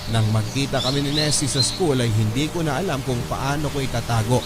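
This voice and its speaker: A man narrating a first-person letter in a calm, steady reading. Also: mid-range voice, a little fast. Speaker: letter reader. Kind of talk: scripted narration. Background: music.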